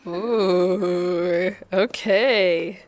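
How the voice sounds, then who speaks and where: A woman hosting a dating game show, giving brisk directions and repeating set questions to contestants. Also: on the low side, fairly even intonation, darkish tone.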